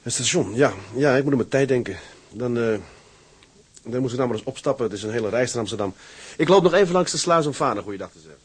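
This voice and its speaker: A man's voice: mid-range voice, expressive, fast, slightly rough. An actor playing a weary, earnest, fatherly merchant sea captain in a Dutch radio drama. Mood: subdued, earnest.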